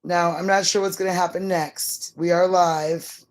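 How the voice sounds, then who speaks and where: A woman's voice: fairly low pitch, steady tone, a little slow. Middle-aged woman musing casually on a livestream. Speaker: live stream co-host.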